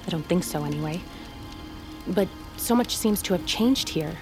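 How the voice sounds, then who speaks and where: A woman's voice: fairly low pitch, lively intonation, normal speed, music in the background. A woman playing a blunt, wry, hardened fugitive in a fantasy audio drama. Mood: wry, wary.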